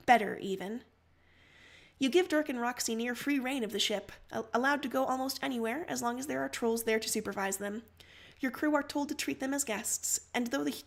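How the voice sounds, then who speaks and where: A woman narrating a story aloud as a host, in an expressive reading style. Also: a little high. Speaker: livestream host reading fan fiction aloud.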